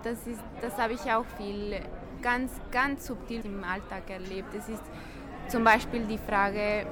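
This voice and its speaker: A woman's voice: medium pitch, steady tone, measured pace. Hesitant foreign-accented woman, street crowd behind. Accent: foreign accent in standard German. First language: not German. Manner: hesitant, searching for words. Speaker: interviewee. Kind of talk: interview answers.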